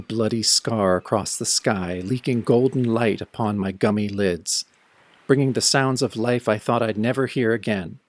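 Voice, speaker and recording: A man's voice: on the low side, no room sound, lightly acted. Man playing a brooding, wry horror-story narrator. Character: wry, world-weary young man cursed as a werewolf. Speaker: first-person narrator of an audio drama. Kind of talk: dramatic scripted narration, old radio horror show.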